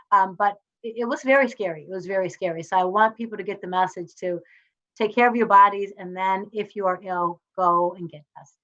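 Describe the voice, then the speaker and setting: A woman's voice: medium pitch, fairly bright tone. Middle-aged woman panelist, heartfelt and earnest storyteller, slightly muffled audio. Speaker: entrepreneur, panel guest.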